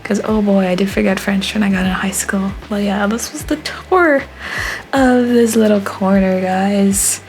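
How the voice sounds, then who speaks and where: Soft-spoken young woman. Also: mid-range voice, a little slow. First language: Haitian Creole. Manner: soft-spoken, rambling, frequent um fillers. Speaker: art vlogger. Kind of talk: voice-over vlog narration.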